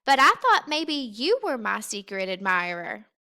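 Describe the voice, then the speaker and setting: Woman as an eager young bear cub, bright-voiced. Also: medium pitch, sing-song, a little slow, smooth, full character voice. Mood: earnest. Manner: wide-eyed, earnest.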